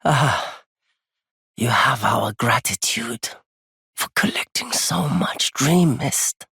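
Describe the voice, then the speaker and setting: Casting: weary game merchant, very raspy and breathy, slow, courteous, formal delivery. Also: male voice, mid-range voice, lively intonation, dry recording, clean studio sound, exaggerated voice. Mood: polite, weary. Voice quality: husky, breathy, gravelly. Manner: measured, hushed, formal wording. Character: weary, courteous wandering merchant, darkened by anguish. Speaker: merchant character. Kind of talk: dramatic video game voice acting.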